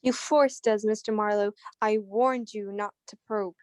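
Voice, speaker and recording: An actress playing a cold, stern crystal-ball medium in a 1940s style radio crime drama. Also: female voice, mid-range voice, steady tone, unhurried, a little mellow, natural voice. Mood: cold, ominous.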